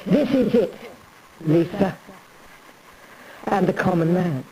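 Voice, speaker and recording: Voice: mid-range voice, expressive, slow pace, rough recording. A performer playing an imperious, aggrieved Tudor queen in a theatrical parody monologue, declaiming in a pompous, rambling way. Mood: declamatory, solemn.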